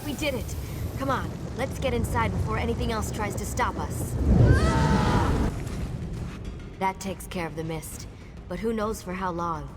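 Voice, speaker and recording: A woman's voice: mid-range voice, moderate pace, natural voice. An actress playing an earnest, purposeful female battle mage in a scripted fantasy game, with music under her. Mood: determined. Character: resolute female battle mage rallying allies. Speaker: game character.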